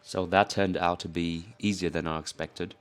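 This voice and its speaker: A man's voice: deep voice, flat delivery, normal speed, faint music behind. A young Korean man, a native Korean speaker, narrating his lifting vlog in a put-on British-leaning accent, candid and self-mocking with casual swearing. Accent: put-on British-leaning English accent. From South Korea. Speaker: powerlifting vlogger.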